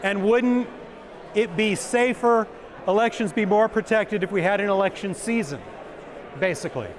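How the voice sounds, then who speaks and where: A man with a big booming voice, giving a conference talk and answering questions with jokes. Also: moderate pace, a crowd in the background. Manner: joking, self-deprecating, repeats questions aloud. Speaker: conference speaker. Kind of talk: presentation and Q&A.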